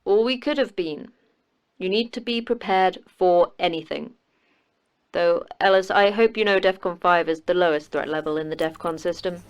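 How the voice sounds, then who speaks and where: A woman's voice: fairly low pitch, normal speed, smooth, no room sound, highs cut off, close to an everyday voice. A woman as a blunt, clipped facility boss. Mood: stern. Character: terse, tough female facility manager.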